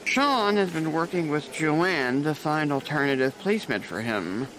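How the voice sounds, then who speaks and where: A man plays a weary nurse supervisor with dark, dry humor and an unhurried calm. His voice rises and falls freely, a bit higher than most men. A steady noise hum sits behind him. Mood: wry grim. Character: jaded male nurse supervisor, darkly wry. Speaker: charge nurse. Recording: noisy background.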